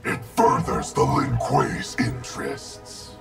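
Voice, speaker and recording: A man voicing a cold, arrogant wraith ninja clan leader in a video game, gravelly, haughty and threatening. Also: very deep voice, very animated, takes it slowly, full character voice. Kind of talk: video game character dialogue. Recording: music behind the voice.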